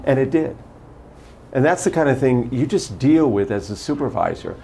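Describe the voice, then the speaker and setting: An older man running for prosecutor, answering interview questions in a measured way and telling stories from his career. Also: medium pitch, sing-song, gravelly, warm tone, slight background noise. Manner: measured, anecdotal, lists names and past posts. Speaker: candidate for prosecutor.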